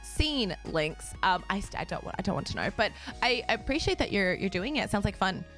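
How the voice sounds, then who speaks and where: A young woman streaming a game and chatting casually with her viewers, bubbly and quick to laugh, her voice a little raspy from just waking up. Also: mid-range voice, big pitch swings, fairly bright tone, faint music behind. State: slightly raspy, just woken up. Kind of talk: casual chat with a live stream audience.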